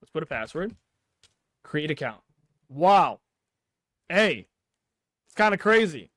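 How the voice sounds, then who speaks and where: A man's voice: on the high side, big pitch swings, slow, fairly bright tone, no room sound, studio quality. A man giving casual, unscripted tech commentary over a screen recording, slangy and reacting aloud as he goes. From Pakistani background. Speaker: tech YouTuber, host.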